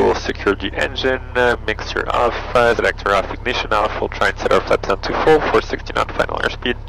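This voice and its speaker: A man's voice: on the low side, creaky. A man piloting a small plane, talking through procedural briefings and checklists in clipped phrases, thinking aloud. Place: small airplane cockpit. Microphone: aviation headset. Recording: engine and cockpit noise behind voice.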